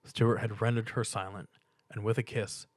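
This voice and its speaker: A man's voice: lowish voice, flat delivery, moderate pace, no room sound, studio quality. A man reading a piece of fan fiction aloud as dramatic story narration.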